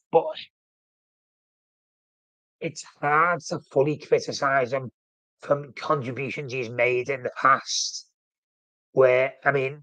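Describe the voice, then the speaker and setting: A man's voice: medium pitch, a bit bright, no room sound, rough recording. A man with a flu, sounding snuffly, hosting a casual live-stream chat about his football club and rambling with frequent self-corrections. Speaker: fan channel host.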